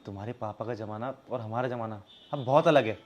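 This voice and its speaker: Young Indian husband, played by a male actor, medium pitch, fault-finding and earnest, domestic drama scene. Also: fast, close to an everyday voice. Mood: earnest, reproachful. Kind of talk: scripted domestic drama acting in Hindi with some English.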